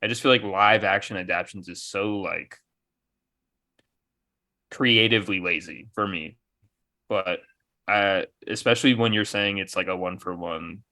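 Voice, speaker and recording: A man's voice: low-pitched, a little slow, long pauses, sharp tone. A man on a podcast panel, arguing his opinions casually with some swearing.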